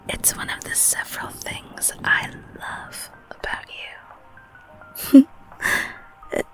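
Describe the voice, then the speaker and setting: A woman voicing a loving, clingy, protective girlfriend in an intimate ASMR roleplay, speaking in a soft whispery voice with tender, gentle cooing. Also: sits high, low-quality recording. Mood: sleepy, affectionate, then soothing, protective. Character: loving clingy girlfriend, secretly eldritch being, soothing and protective. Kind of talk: ASMR audio roleplay, intimate one-sided scene.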